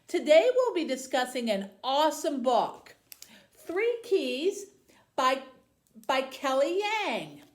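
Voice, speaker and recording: A woman with a fairly high voice speaks as a librarian addressing tweens. Her pitch moves up and down in a very animated way, while her pace stays slow and deliberate, as in a book talk or read-aloud. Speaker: youth services librarian hosting a book program.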